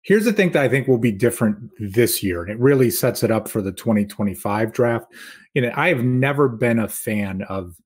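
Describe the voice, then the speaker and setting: A man with a husky, medium-pitched voice talks as a guest analyst on a sports podcast. He explains his points in a casual, conversational way, pressing each one. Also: expressive, fairly quick.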